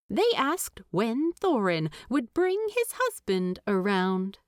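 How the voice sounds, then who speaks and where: A woman's voice: medium pitch, no echo, studio quality. A woman reading a story aloud as a scripted audiobook narration.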